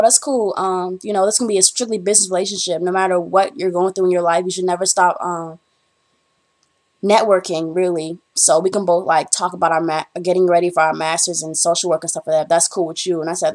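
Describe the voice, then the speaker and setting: A woman's voice: on the low side, darkish tone. A young woman from the Bahamas, a college student, chatting to camera in a rambling, storytelling way with frequent ums. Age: young adult. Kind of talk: casual chat to camera.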